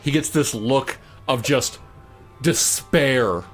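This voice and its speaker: A man running a tabletop role-playing game as game master, improvising descriptive narration in a halting way. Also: medium pitch, soft background music.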